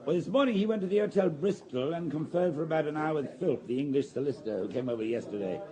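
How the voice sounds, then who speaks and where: Higher-voiced police deputy, played by a man. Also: animated, quick pace, warm tone, lightly acted. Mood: businesslike. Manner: matter-of-fact, methodical. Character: loyal, attentive male police subordinate reporting to chief. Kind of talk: radio drama acting.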